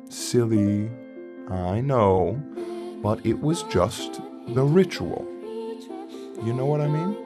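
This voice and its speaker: Music plays clearly beneath a man acting as a wistful father in an audio play. He tells a dark memory to his child at a slow pace and a middle pitch. The delivery is reflective and conversational, broken by dramatic pauses. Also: expressive. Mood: wry, reminiscing. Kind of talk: first-person dramatic monologue, storytelling. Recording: music under the voice.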